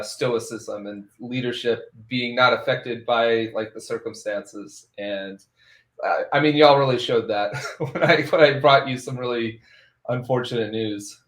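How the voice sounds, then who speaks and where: A man hosting a casual tech podcast, rambling and laughing often between phrases. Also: medium pitch. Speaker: podcast host. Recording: roomy echo.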